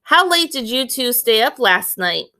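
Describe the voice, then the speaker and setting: A woman voicing a brisk, unflappable old country woman doctor in a storybook, curt and no-nonsense. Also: on the high side, big pitch swings, pushed and bright, heavily acted. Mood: unconcerned.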